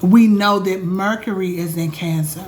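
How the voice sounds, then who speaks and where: A woman talking casually to her viewers about astrology from her home, chatty and animated, calling them y'all. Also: medium pitch, unhurried, a little hiss or hum. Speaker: astrologer and vlog host. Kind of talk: casual spiritual vlog talk.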